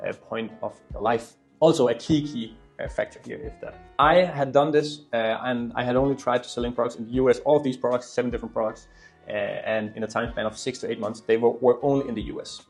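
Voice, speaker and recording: A man's voice: medium pitch, steady tone, fast. Young Danish-accented man, music behind. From Denmark. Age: 20s. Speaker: YouTuber and e-commerce entrepreneur. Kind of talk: casual storytelling talk to camera.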